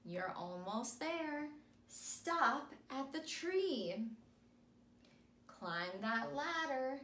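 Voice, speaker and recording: A young woman reads a picture book to small children, taking it very slowly in a fairly high voice. Her phrasing is simple, emphatic and repetitive. The recording is made in an echoey room. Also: very animated, fairly bright tone. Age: young adult. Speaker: school speech therapist. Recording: echoey room with noticeable hiss.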